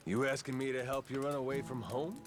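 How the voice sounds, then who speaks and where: An actor playing a gruff, easygoing Yakuza man with amnesia in a video game, speaking in rough, teasing, folksy slang. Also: mid-range voice, steady tone, brisk, close to an everyday voice. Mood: easygoing, wry. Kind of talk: video game cutscene acting. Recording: faint music behind the dialogue.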